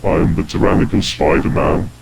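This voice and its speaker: A deep, extremely gravelly monstrous tyrant, proclaims his merciless power in slow, pompous phrases. It is an analog horror villain, heard through clearly hissy, distorted footage audio. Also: fairly even intonation. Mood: menacing, grandiose. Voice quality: guttural, gravelly.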